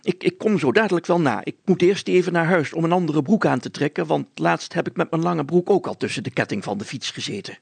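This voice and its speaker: Stuttering storybook mayor, high-pitched, swooping, pompous, bossy, sneezy, flustered. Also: male voice, lively intonation, fairly quick, vocal fry, hardly any pauses, breathy edge, dry recording, exaggerated voice. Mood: bossy, hurried. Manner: pompous, spluttering, exclamatory.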